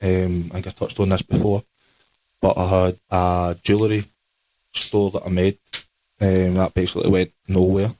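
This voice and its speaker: A Scottish man in his late twenties co-hosting a podcast in a relaxed, rambling chat. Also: sits low, normal speed, dry recording, poor audio. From Scotland. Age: 20s. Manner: relaxed, rambling, with Scottish words like aye and wee. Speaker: podcast co-host. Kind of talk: casual chat.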